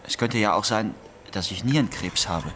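A man's voice: fairly low pitch, normal speed, fairly bright tone. A man reciting lines and asking questions in an audio piece. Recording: clearly noisy recording.

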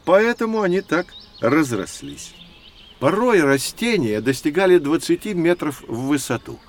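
A man's voice: on the high side, big pitch swings, a little hiss or hum. A man playing a kindly, learned professor who explains things playfully in an educational audio drama. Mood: explanatory. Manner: explanatory, gently playful, measured. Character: kindly, learned male professor guiding children through prehistory.

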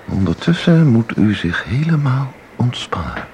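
Radio drama: a hypnotic, evocative, commanding guide; unhurried and creaky, on a noisy recording. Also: male voice, medium pitch, big pitch swings, darkish tone. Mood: calm, hypnotic. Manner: slow, deliberate, instructing, evocative. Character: commanding male guide, hypnotically luring someone into a painting. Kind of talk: radio play acting.

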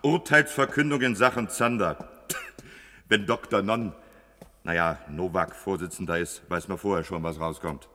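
Deep-voiced new court constable, echoing hall. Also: male voice, unhurried, sharp tone. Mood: casual, sheepish. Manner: colloquial, blunt. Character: naive chatty male junior court constable, new on the job. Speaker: actor. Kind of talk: German radio play acting. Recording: long reverberant hall.

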